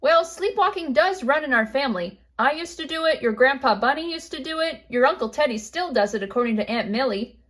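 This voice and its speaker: A woman's voice: high-pitched, animated, a little fast. A woman voicing a matter-of-fact bunny father in a children's storybook, casual and explanatory.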